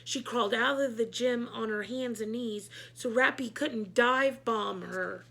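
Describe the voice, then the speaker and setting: A woman reading a children's book aloud in an animated, emphatic storytelling style. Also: on the high side, unhurried, creaky.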